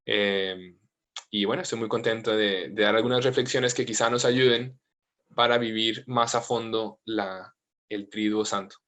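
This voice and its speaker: Thirties priest with a low voice and a mixed accent, gentle and informal, heard over a video call. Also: male voice, fairly even intonation, a little slow. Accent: mixed Latin American and Spanish. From United States, family from Colombia. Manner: gentle, informal, with frequent fillers.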